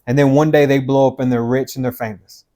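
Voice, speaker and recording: A man's voice: medium pitch, steady tone, quick pace, mellow. Blunt, emphatic man in his fifties. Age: 50s. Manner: blunt, emphatic, repeats himself, calls the listener "man" and "dude". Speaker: podcast host giving life advice. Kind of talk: motivational advice talk.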